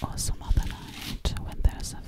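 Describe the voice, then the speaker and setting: A woman's voice: moderate pace, natural voice. A woman playing a sassy, sinister witch in an ASMR roleplay, whispering breathily close to the microphone and lingering on repeated words. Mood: proud, coy. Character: sassy, vain, sinister witch who hunts people.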